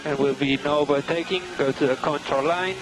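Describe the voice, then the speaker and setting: Male race engineer, high register. Clipped, repetitive, composed radio instructions over loud music. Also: brisk. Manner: calm, terse, repeats instructions. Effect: team radio. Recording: crackly team radio with music underneath.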